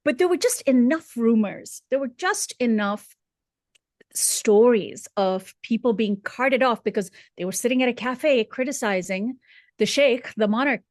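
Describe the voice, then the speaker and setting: A woman's voice: on the high side, animated, unhurried, darkish tone, no room sound, studio quality. A woman raised in Dubai, talking earnestly as a show's senior correspondent, thoughtful, with many fillers and restarts. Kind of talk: conversational commentary.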